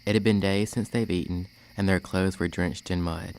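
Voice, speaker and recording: A man's voice: deep voice, steady tone, moderate pace, darkish tone, low-quality recording. A young man narrating a story from a script. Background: music.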